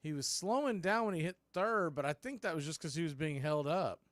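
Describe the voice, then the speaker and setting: A man's voice: fairly high pitch, expressive, a little fast, dry recording. A man doing live baseball play-by-play as an informal, colloquial announcer who openly roots for his team. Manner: homer fan, informal and colloquial. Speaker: baseball play-by-play announcer for a fan watch party. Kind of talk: live sports play-by-play commentary.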